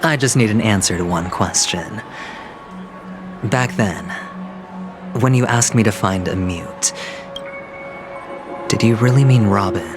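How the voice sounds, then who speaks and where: A man's voice: on the low side, a little slow, pauses often, music in the background, lightly acted. A male actor voicing a bold, scheming young hero in a video game, defiant and sardonic.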